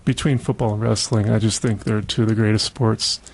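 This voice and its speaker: A man's voice: lowish voice, fairly even intonation, fairly quick, creaky. A man hosting a casual radio interview in a chatty, encouraging manner. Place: radio studio.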